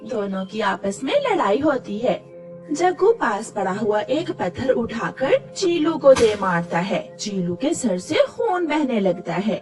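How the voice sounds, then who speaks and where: A woman's voice: on the high side, sing-song, takes it slowly, a little mellow, far from the mic, soft background music. A woman reading scripted narration for a children's cartoon story.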